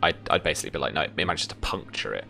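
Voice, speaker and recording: A man's voice: a bass voice, lively intonation, rapid-fire, vocal fry, sharp tone. A young man running a tabletop game as game master, narrating and talking rules in a casual, hesitant way. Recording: music behind the voice.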